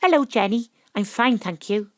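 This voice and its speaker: A woman playing a cool, wry private detective in an audio drama, narrating and talking in a measured, theatrical way. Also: mid-range voice, lively intonation, no room sound, studio quality, close to an everyday voice. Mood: fond, composed. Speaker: lead actor and narrator.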